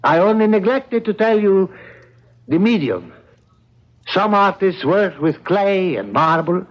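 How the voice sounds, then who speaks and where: An actor playing a suave, unrepentant older professor and sculptor in a radio drama, speaking in a measured, placating manner. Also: male voice, high-pitched, lively intonation. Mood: smooth, unrepentant. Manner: placating, measured, self-justifying. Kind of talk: old-time radio drama acting. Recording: dull vintage radio recording.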